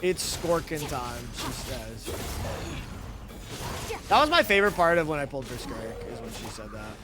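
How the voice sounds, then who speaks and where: A man's voice: medium pitch, big pitch swings, moderate pace, noisy background. A man doing casual live game commentary in a playful, slangy, profane way. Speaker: game streamer.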